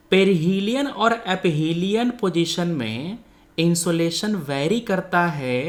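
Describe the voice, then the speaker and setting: Slow, animated male teacher. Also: fairly high pitch. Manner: didactic, frequently checks understanding; mixes Hindi with English technical terms. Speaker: exam coaching teacher. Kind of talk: explanatory lecture with quiz questions.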